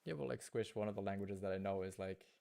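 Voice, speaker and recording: A man's voice: sits low, fast talker, gravelly, mellow, no room sound. A man whose first language is German, chatting casually with viewers while streaming a hunting game and thinking aloud. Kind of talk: casual gaming livestream chat.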